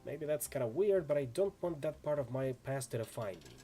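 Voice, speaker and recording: Man voicing an easygoing, animated orc swordsman. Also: mid-range voice, a little fast, soft background music, close to an everyday voice. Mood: matter-of-fact, casual. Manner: laid-back, chatty street slang. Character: easygoing, friendly young male orc wandering swordsman. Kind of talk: acted reading of role-playing game dialogue.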